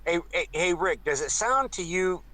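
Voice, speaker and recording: A man's voice: sits high, lively intonation, moderate pace, sharp tone. A man in a casual voice-chat discussion who stammers and keeps trying to get a word in. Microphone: computer mic in a voice chat.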